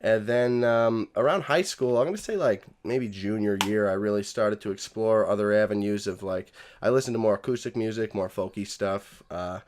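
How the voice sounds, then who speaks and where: A young man speaking as a podcast guest, easygoing and soft-spoken, wandering through his thoughts with lots of fillers. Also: lowish voice, normal speed, few pauses, a little hiss or hum. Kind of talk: casual chat.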